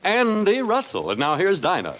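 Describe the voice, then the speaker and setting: A man's voice: high-pitched, huge pitch swings, normal speed. A man announcing a sponsored old-time radio show in a presentational style.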